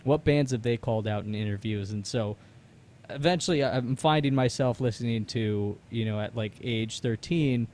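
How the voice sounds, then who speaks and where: A man's voice: medium pitch, moderate pace, a little mellow, a little hiss or hum. A man in his thirties interviewing casually, with a rambling, slangy way of feeling out his sentences. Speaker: interviewer, musician.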